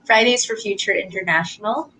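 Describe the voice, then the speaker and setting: A woman's voice: mid-range voice, a little fast, sharp tone, low-quality recording. Upbeat woman hosting an online gathering. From Philippines. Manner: upbeat, chatty, restarts mid-sentence. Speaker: co-host of an online climate gathering. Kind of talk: live online event hosting.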